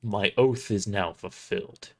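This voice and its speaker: A man's voice: fairly low pitch, unhurried, a little mellow. A man voicing a noble, stern holy knight in a formal, solemn, declamatory way for an audio story. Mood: solemn judgement.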